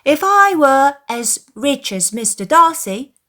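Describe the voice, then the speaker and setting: A brash young boy from a classic novel audiobook, voiced by a woman. He is eager and boastful. The voice sits high and pushes forward with confidence. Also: sing-song, slow, pushed and bright, heavily acted.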